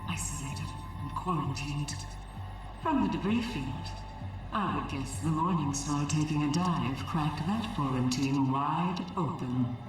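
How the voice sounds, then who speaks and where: A woman playing a resentful, guarded female station AI in a video game, speaking in a measured, clipped, dry way. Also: deep voice. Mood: clinical, guarded. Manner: clipped system announcements, deliberate and dry. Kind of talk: video game cutscene acting. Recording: very echoey, distant, music behind.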